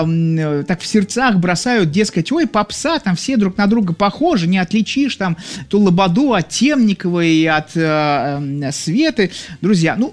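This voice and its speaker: A man from Leningrad hosting a live music stream, chatting casually with viewers, hesitant with many fillers and a slight burr on his r sounds. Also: high-pitched. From Leningrad, Russia. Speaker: radio-style music show host.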